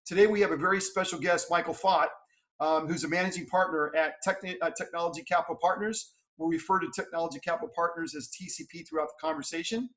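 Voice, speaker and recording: A middle-aged man hosting an interview over a video call, upbeat and filling pauses with "uh". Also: a little high, steady tone, fairly bright tone, low-quality recording. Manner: upbeat, many "uh" fillers. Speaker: podcast host. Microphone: webcam on video call.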